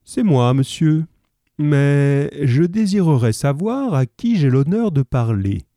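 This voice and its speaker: A man voicing a calm, ironic detective in a read-aloud story, smooth and mocking. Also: medium pitch, measured pace, dry recording, studio quality, voice pushed up, exaggerated voice. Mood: amused.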